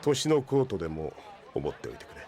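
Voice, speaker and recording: A man playing a stoic, protective old cyborg, speaking in a measured, formal, dryly serious way in an anime audio drama. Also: deep voice, very animated, heavy background noise. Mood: dry concern. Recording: faint street crowd behind.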